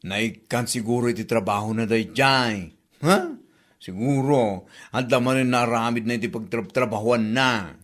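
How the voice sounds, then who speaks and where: A man's voice: medium pitch, unhurried, a bit bright, close to an everyday voice. A man with an Ilocano accent acting a gossipy, resentful laundry worker in a radio comedy drama, with exclamatory delivery. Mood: gossipy, scornful.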